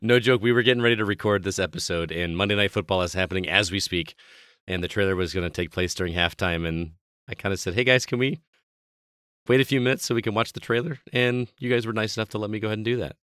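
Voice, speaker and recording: Slightly hoarse, low-voiced male podcast host. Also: steady tone, quick pace, a bit bright, no echo, clean studio sound. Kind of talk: casual podcast chat.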